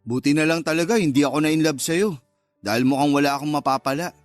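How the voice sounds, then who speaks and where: A man's voice: on the high side, fast, no echo, soft background music, close to an everyday voice. A man acting a cocky, teasing and easily wounded secret lover, with a Filipino accent, in a radio love drama. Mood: wounded, denying.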